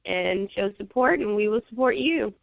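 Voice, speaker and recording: A woman guest on a radio talk show, a magazine publisher, talking in a friendly, relaxed way. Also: medium pitch, moderate pace, gravelly, dry recording. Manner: friendly, relaxed, light laughs. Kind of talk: casual interview chat. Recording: dull lo-fi sound, dry.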